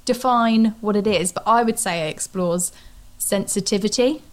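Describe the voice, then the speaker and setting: A woman's voice: medium pitch, normal speed. A British woman in her thirties chatting informally and animatedly as she co-hosts a casual book discussion. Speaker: podcast co-host.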